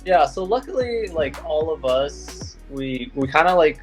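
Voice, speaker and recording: A man's voice: on the high side, animated, measured pace, soft background music. A young man from Belgium, a guest skater talking in a loose, laid-back way with lots of fillers. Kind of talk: casual interview chat.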